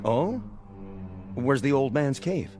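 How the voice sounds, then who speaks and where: An actor playing a sarcastic, menacing army major in a radio drama, mocking and domineering in slangy speech. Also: male voice, medium pitch, lively intonation, slow. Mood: scornful. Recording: music bed behind dialogue.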